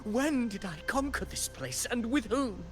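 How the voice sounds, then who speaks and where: An actor playing a proud, disillusioned fallen hero in a video game, speaking in a dramatic, brooding monologue. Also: male voice, very high voice, animated, a little slow, a bit bright, faint music behind, full character voice. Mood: proud, then shaken.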